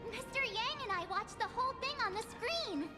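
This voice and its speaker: A bubbly young girl companion from a video game, chirpy and teasing. Her voice sits very high and bounces around a lot. The game audio carries a long reverb tail. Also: animated, moderate pace, over loud music. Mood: playful.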